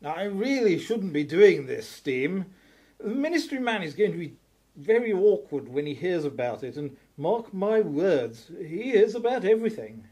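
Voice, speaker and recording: Guy doing a nervous reluctant posh-gent type for radio play, high and husky. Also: big pitch swings, normal speed, warm tone, heavily acted. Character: nervous, reluctant gentleman, anxious about authority. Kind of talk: scripted audio drama.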